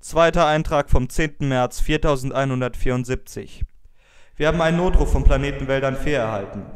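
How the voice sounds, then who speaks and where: Starship captain played by a man, mid-pitched, grave and formal, sounding distant in heavy echo. Sci-fi audio drama. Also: brisk, natural voice. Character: starship captain, stern and wary of war. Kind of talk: science fiction audio drama, narrated logbook and dialogue. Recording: very echoey, long reverb, distant.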